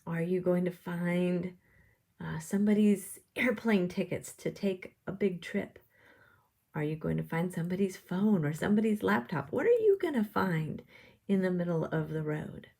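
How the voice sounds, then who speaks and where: Female art instructor, lowish voice with heavy fry, guiding a step-by-step demonstration in simple, encouraging terms. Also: lively intonation, normal speed, dark tone. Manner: simple encouraging explanations with questions to the viewer. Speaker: art teacher leading a drawing lesson.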